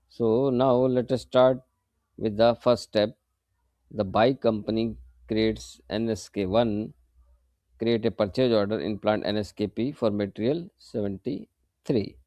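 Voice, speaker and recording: A man narrating a step-by-step software tutorial in a plain, methodical, explanatory way. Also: on the low side, moderate pace, no echo. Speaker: software instructor.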